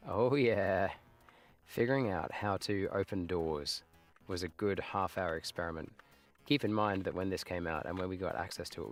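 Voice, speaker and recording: A man in his late thirties chatting casually while he plays a game live, reminiscing and trailing off into muttered asides. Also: low voice. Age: 30s. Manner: nostalgic, rambling, with muttered asides. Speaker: livestreamer playing games. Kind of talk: casual live gameplay commentary.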